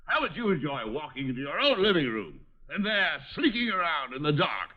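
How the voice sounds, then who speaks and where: Haughty, swooping, indignant collector. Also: male voice, fairly high pitch, sing-song, a little fast. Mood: haughty outrage. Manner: pompous, florid, indignant. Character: haughty, indignant, pompous male antique collector. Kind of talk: old-time radio detective drama acting. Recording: muffled vintage radio recording.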